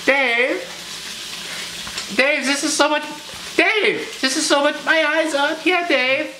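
A man's voice: very high voice, slow pace, background noise. A teenage boy playing Franz, an anxious, self-pitying home cook who whines melodramatically to his cameraman. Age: teens. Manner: melodramatic, whiny, fretful asides to the cameraman. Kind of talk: comic cooking show skit. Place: home kitchen, indoors. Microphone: camera mic from a handheld camera. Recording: roomy kitchen with frying sizzle. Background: food sizzling in a pan.

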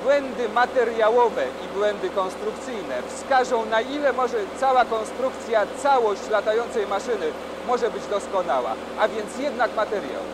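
A man in his thirties presenting for TV in a test lab, raising his voice over loud vibrating machines. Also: high voice, expressive, a little slow, few pauses. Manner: raised voice over loud machinery, wearing ear defenders. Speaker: TV science presenter. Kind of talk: on-location TV presenting. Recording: noisy 1980s TV field recording.

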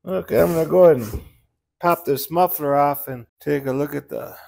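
A middle-aged man with a slightly high voice talks through an engine repair in his workshop. His shop talk is folksy and plainspoken, and it is picked up by the camera's own mic. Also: moderate pace, vocal fry, darkish tone. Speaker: small engine repair mechanic. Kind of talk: casual hands-on repair walkthrough.

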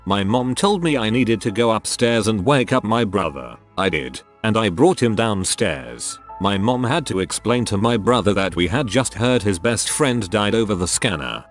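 A man reading online stories aloud as a narrator, in a measured, scripted storytelling delivery. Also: on the low side, expressive. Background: background music.

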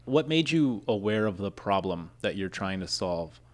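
A man's voice: on the low side, animated, normal speed. A man in his thirties from Peru hosting a podcast in a studio, asking curious questions into a desk mic. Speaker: podcast host. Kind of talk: conversational interview. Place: podcast studio with a long wooden table. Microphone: desk mic on a stand.

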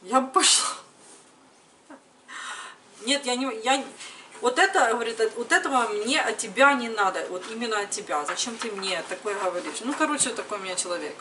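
A woman's voice: on the high side, animated, fairly quick. A woman in her thirties chatting casually to camera as a vlogger, conversational and retelling everyday exchanges. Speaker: vlogger, mother. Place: home kitchen, later another room indoors. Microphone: camera a little distance away. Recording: noisy room, distant camera microphone.

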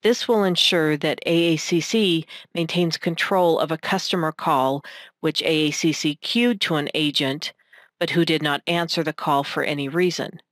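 A woman reading scripted narration for a technical demonstration in a measured, instructional manner. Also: low voice, fairly even intonation, no echo, studio quality. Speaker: software tutorial narrator.

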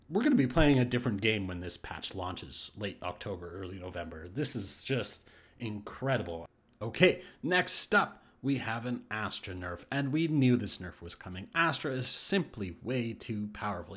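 A man's voice: lowish voice, a bit bright. A man giving casual, thinking-aloud commentary on game patch notes, with restarts and hesitations. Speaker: gaming YouTuber. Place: voice-over on screen capture.

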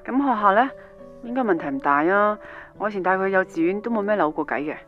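Fast, brusque young mother played by a woman. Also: mid-range voice, expressive, highs cut off, close to an everyday voice. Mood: inquiring. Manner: brusque, impatient. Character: curt, defensive working mother, impatient daughter. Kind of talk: radio drama acting. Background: faint music.

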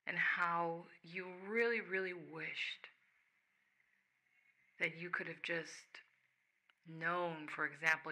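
A woman giving a soft, reflective ASMR monologue addressed to the listener, with pauses. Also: sits low. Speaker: ASMR host speaking as the listener's higher self.

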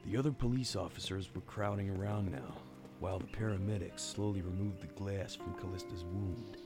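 Male actor as weary noir detective, deep voice, wry radio play narration, music underneath. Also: fairly even intonation, fairly quick. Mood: wry, brooding.

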